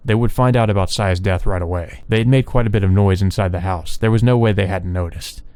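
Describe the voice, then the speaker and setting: A man's voice: low-pitched, fairly quick, a little mellow, no room sound. A man reading visual novel story text aloud as narration for a let's play.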